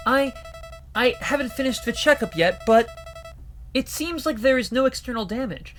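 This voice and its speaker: A man voicing a frightened, tearful young woman crew member who stammers and speaks haltingly. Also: very high-pitched, expressive, a bit bright, soft background music, pitched above the performer's own voice. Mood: hesitant.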